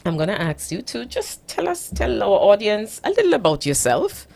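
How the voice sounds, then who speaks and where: A woman hosting a conversational radio interview, speaking haltingly and repeating her phrases. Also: medium pitch, huge pitch swings, creaky, a little mellow. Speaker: radio show host.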